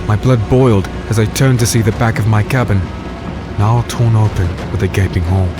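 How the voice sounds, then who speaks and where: A man's voice: fairly low pitch, brisk, natural voice. A man playing a defiant swordsman hero in a fantasy audio drama, intense and dramatic as he fights and tells his tale. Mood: tense narration. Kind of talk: dramatic first-person narration and dialogue, fantasy audio drama. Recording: music behind the voice.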